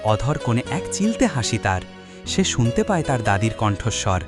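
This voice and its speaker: A man's voice: mid-range voice, sing-song, moderate pace. A man narrating a scripted dramatic love story as a storyteller. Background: music.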